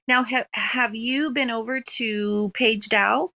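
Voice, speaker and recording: A woman's voice: on the high side, fairly even intonation, slow, sharp tone. A woman hosts an online audio chat and greets her guests with encouragement. She calls on each speaker in turn and invites them to introduce themselves. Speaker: host.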